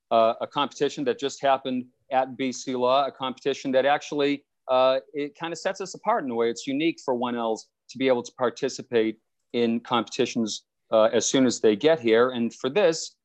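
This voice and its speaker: A young man, a law student, hosting a podcast over a video call in an upbeat, playful way. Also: mid-range voice. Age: young adult. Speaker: podcast host. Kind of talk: scripted podcast intro.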